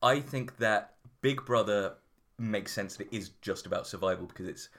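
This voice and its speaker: A man's voice: on the low side, normal speed. A man from Greater Manchester co-hosting a podcast, chatting casually and enthusiastically. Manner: enthusiastic, lively. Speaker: podcast co-host.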